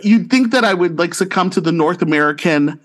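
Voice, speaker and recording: High-voiced chatty Canadian man. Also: fairly quick. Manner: chatty, animated storytelling. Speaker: podcast co-host. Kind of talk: casual chat.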